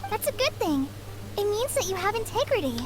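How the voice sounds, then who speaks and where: A woman's voice: very high voice, very animated, brisk, background noise. A woman voicing a small, chirpy, childlike floating companion in a video game, earnest and fond of food. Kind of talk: English game voice acting.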